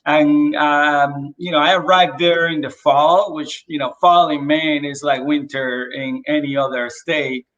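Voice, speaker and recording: Guy from the dominican republic on a video call, fairly high voice, lively and chatty, lots of fillers. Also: measured pace, few pauses, highs cut off. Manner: animated storyteller with many fillers. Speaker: real estate agent, guest. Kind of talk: casual video call interview.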